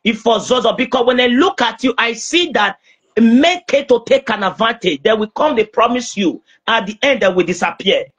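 A young man speaking as a prophet praying over someone on a video call, insistent, halting and repeating himself. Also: extremely high pitch, lively intonation, fairly bright tone, slightly rough. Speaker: preacher, self-styled prophet. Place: small indoor room. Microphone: phone at arm's length on video call.

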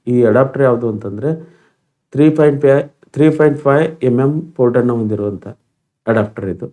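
A man's voice: medium pitch, fast, dark tone. A man presenting an informal step-by-step tutorial demonstration.

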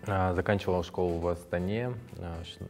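A man in his twenties answering briefly and low-key in a studio interview, with a lapel mic. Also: fairly even intonation, moderate pace, darkish tone, faint music behind. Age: 20s. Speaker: camera operator, show participant. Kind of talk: casual interview. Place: studio with grey backdrop.

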